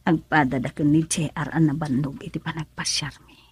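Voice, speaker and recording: A woman's voice: low voice, steady tone, brisk, breathy edge, no echo. An actress with an Ilocano accent playing an emotional young married woman in a radio drama. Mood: hushed, reflective.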